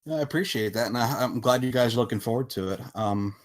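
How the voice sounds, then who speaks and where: A man speaking casually as a podcast guest, with halting, hesitant phrasing as he explains things. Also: medium pitch. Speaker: podcast guest, visual novel developer. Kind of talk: casual interview talk.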